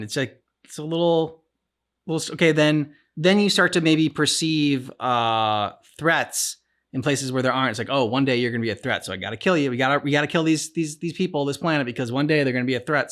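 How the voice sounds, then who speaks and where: A man's voice: mid-range voice, fairly bright tone. A man hosting a reaction show, chatting casually and thinking aloud as he goes. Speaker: reaction channel host.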